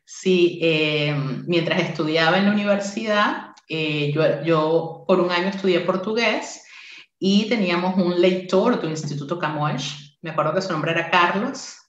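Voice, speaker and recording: Woman, 40s, teacher giving a conference talk, lower voice, echoey room. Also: measured pace, highs cut off. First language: Spanish. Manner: friendly, interactive, with frequent "eh" fillers. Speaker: university English teacher presenting at a workshop.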